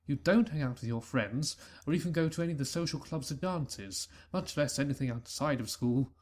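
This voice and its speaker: A man's voice: mid-range voice, a little fast, pitched above the performer's own voice, lightly acted. A man voicing a stern, worried father stallion who lectures his son, in a fan audio reading. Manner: earnest, lecturing.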